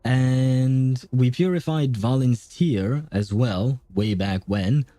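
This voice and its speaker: A man's voice: mid-range voice, takes it slowly, no echo. A man livestreaming a video game with casual, chatty commentary, thinking aloud as he plays. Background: soft game music.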